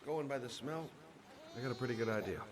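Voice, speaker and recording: A man playing a gruff but kindly middle-aged private eye in a video game, gently reassuring as he talks. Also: mid-range voice, animated, fast, over a noisy crowd, close to an everyday voice. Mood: tender, playful. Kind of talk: video game cutscene acting.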